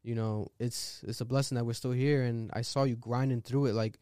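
Smooth-voiced hype interviewer. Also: male voice, mid-range voice, steady tone, a little fast, dark tone, no echo, clean studio sound. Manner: hyped, affirming, slangy. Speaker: interviewer host. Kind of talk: casual interview talk.

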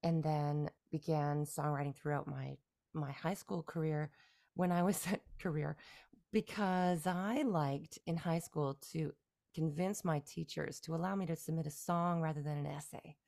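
A woman from Canada in her forties, a musician guest talking through her career in a relaxed, rambling way with fillers and restarts. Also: low voice, measured pace, creaky. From Canada, near Toronto. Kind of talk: casual interview conversation.

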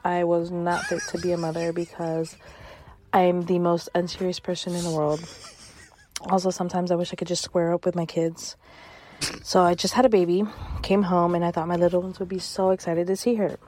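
A woman from California tells a self-mocking story about her own life. Her voice sits a little low and stays relaxed and chatty. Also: fairly quick, a little hiss or hum. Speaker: caller sharing a story. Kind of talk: voice message anecdote.

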